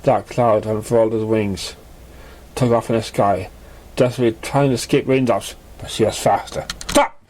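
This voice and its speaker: A man's voice: lowish voice, steady tone, moderate pace, darkish tone, a little hiss or hum. A man reading a scripted story aloud as a narrator, in a dramatic storytelling style. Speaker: fan fiction reader.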